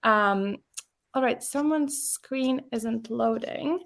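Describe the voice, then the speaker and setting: Gravelly-voiced woman hosting a webinar. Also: a little high, slow. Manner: casual, friendly, many fillers. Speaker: webinar host, content marketing specialist. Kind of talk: presenting a webinar.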